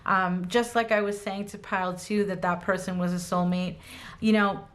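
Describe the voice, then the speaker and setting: Slightly hoarse, gushing tarot reader woman. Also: mid-range voice, fairly even intonation, normal speed. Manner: gushing, enthusiastic, many ums and restarts. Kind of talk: casual tarot card reading to camera.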